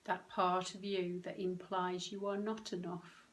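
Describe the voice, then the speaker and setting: A woman leading a guided meditation, speaking gently and unhurriedly in short phrases with long pauses. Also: fairly low pitch, flat delivery, poor audio. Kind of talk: guided meditation, soothing instruction.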